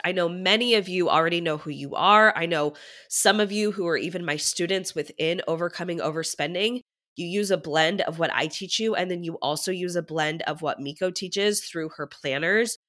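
Lady money coach doing a podcast intro, kinda stuffy from a cold, bright and excited, slightly low voice. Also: normal speed. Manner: enthusiastic, chatty, addresses listeners warmly. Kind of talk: upbeat podcast intro monologue.

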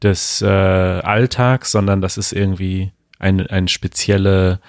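An easygoing man co-hosting a podcast, with a low-pitched voice. He teases his partner and hesitates now and then as he picks his words. The sound is close and dry. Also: little pitch movement, slow pace, vocal fry, no room sound. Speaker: podcast co-host. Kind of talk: casual chat.

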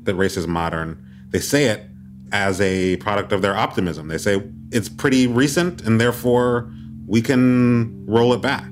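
A man's voice: on the low side, steady tone, moderate pace, soft background music. A man hosting a radio program, moving between narration and conversation. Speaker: radio host.

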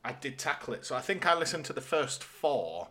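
A man's voice: a little high, sing-song, brisk, bright, forceful tone. A man co-hosting a podcast in casual, conversational chat. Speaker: podcast co-host.

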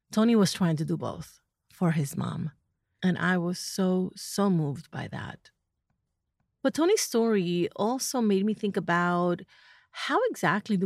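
A woman's voice: on the low side, darkish tone. A woman hosting a podcast, asking earnest, reflective questions of her guest. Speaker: podcast host. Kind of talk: podcast interview.

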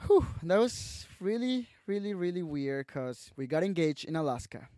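This is a young man from Mexico who speaks English as a second language, with a clear Mexican Spanish accent. His voice sits fairly high for a man. He tells his story candidly and emotionally, swears freely, keeps saying "bro", and is recorded close and dry. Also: big pitch swings, moderate pace, warm tone, no room sound. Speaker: podcast guest. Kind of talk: casual storytelling interview.

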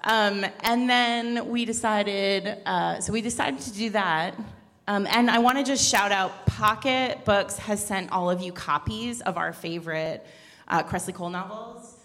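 A woman's voice: mid-range voice, a little slow, a bit bright. A woman co-hosting a podcast, chatting casually and jokily at a live panel. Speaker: podcast co-host. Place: large indoor event room. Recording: echoey hall, live room microphone.

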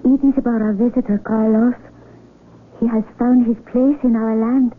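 Actress as a high-voiced, earnest young daughter. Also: female voice, steady tone, moderate pace, natural voice. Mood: respectful. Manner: respectful, sincere, pleading. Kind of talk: 1970s radio drama acting. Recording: muffled, noisy vintage AM radio recording.

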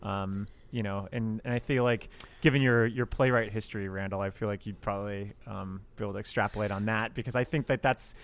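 A man co-hosting a podcast, chatting casually about film in a halting, rambling way. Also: fairly low pitch, steady tone. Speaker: podcast co-host.

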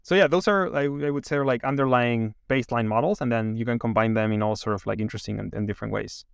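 A man's voice: mid-range voice, gravelly, clear, resonant tone, no echo, studio quality. A man from Chile in his thirties, founder of an AI company, demoing and chatting casually with lots of fillers and restarts. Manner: halting, many fillers and restarts. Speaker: AI company founder giving a demo. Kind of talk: casual interview.

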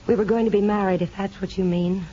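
A woman's voice: medium pitch, fairly even intonation, fast, warm tone, husky, close to an everyday voice. An actress playing an earnest young woman in a restrained, plain-spoken 1950s radio drama style. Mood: guarded. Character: earnest young woman, former prisoner working as nurse's aide. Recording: dull, hissy 1950s radio broadcast.

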